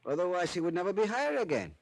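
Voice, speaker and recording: Old radio police chief guy, spanish accent, worldly and patient, kinda high voice, very animated pitch. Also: quick pace, creaky, exaggerated voice. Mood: patient explaining. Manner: patient, explaining in non-native English. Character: worldly, amiable, resigned Latin American police chief. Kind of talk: old-time radio drama acting.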